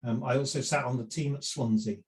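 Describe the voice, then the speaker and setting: A man's voice: mid-range voice, fairly even intonation, fairly quick. A middle-aged man giving a seminar talk on property investment, conversational, with frequent fillers and restarts. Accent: none Welsh. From lived in South Wales for years.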